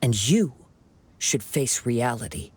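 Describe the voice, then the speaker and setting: A man voicing a calm, perceptive young wandering samurai in a video game, composed, measured and reflective. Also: mid-range voice, slight background noise. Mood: firm, challenging. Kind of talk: dramatic video game voice acting. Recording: music behind the voice.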